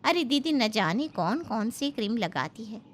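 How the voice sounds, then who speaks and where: A woman's voice: fairly high pitch, very animated, a little slow, a little hiss or hum. A woman voicing a gossipy, fussy middle-aged aunt who chatters in a Hindi moral story. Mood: complaining.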